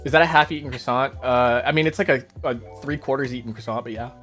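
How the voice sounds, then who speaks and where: A man hosting a casual livestream chat, speaking softly and often trailing off mid-thought. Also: medium pitch, fast talker, faint music behind. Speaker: streamer host.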